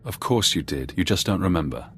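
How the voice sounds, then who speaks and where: A man voicing a calm, knowing, menacing former intelligence watcher in a video game cutscene, speaking in measured, cryptic phrases. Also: sits low, gravelly, slight background noise, full character voice. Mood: cold, knowing.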